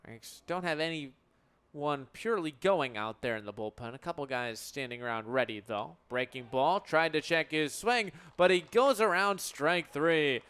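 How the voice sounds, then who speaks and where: A man's voice: on the high side, lively intonation, a little slow, a bit bright, smooth. A man calling baseball play-by-play for radio in punchy, clipped phrases that swell on big plays. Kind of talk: live sports play-by-play commentary.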